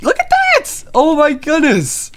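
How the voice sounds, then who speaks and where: A young man with a stuffy cold voice, chatting excitedly through a Minecraft let's play as a gaming YouTuber. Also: very high voice, slow pace. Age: young adult. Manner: chatty and excitable, many fillers.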